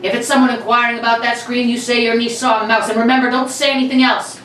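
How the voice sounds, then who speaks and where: Roomy distant recording, woman as cocky gentleman robber, high-pitched, mock-courteous menace, old-time radio play. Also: level tone, fast, lightly acted. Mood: angry, threatening. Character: cocky, politely menacing male armed robber. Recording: roomy, distant mics with faint noise.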